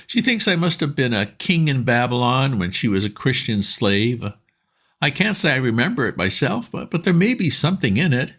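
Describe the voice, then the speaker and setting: A man voicing an excitable, lovestruck young gentleman who pleads and gushes in a raised voice. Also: mid-range voice, normal speed, voice pushed up. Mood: gushing. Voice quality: eager, pleading, gushing.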